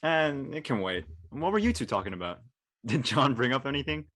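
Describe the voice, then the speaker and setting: A man's voice: medium pitch, animated, normal speed, a bit bright, no room sound, clean studio sound. A man playing a laid-back, inquisitive young student in a casual script reading. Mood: casual, teasing.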